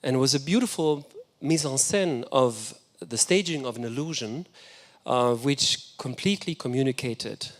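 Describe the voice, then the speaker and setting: A man's voice: mid-range voice, expressive, moderate pace, hardly any pauses. A man whose first language is German, giving an enthusiastic, reflective architecture lecture. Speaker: guest lecturer.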